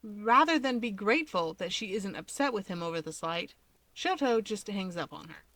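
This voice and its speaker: A woman reading scripted story narration in an expressive manner. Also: mid-range voice, normal speed, dry recording. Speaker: fan fiction reader.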